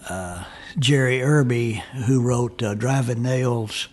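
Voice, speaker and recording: An older man, reminiscing casually and haltingly as he searches for names. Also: medium pitch, darkish tone. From Southern US, Tennessee and Kentucky. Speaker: guest country singer and storyteller.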